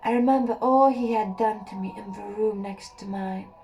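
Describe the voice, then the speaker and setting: A woman reading a first-person erotic story aloud in a slow, intimate way, with soft pauses. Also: medium pitch, faint music behind. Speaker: audiobook narrator.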